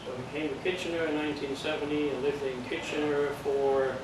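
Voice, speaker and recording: A man's voice: a little high, steady tone, fairly quick. Older Dutch-accented man lecturing in an echoey room. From Netherlands. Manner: plain, patient explaining with jokes and check-ins. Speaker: amateur radio course instructor. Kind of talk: classroom lecture. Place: classroom. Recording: echoey room from far off, noisy.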